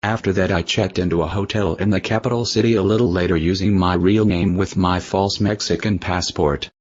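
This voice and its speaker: Male interviewee, deep voice, stiff recited answers, slightly muffled recording. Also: fairly even intonation, a little fast.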